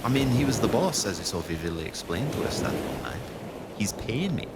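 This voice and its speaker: A man's voice: fairly low pitch, sing-song, a little fast, very noisy. A man playing a dutiful, down-on-his-luck Scottish sailor in an audio drama, guarded and reserved. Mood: brooding. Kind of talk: audio drama acting with first-person narration.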